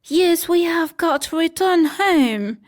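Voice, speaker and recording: Woman reading as a motherly young girl, high and earnest, storybook. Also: expressive, slow pace, heavily acted. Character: caring older girl, motherly to the children.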